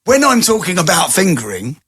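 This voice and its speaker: A man's voice: high voice, wildly swooping pitch, fairly quick, fairly bright tone, slightly rough, no room sound, clean studio sound. A middle-aged man co-hosting a casual podcast, jokey and teasing, laughing a lot. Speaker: podcast co-host.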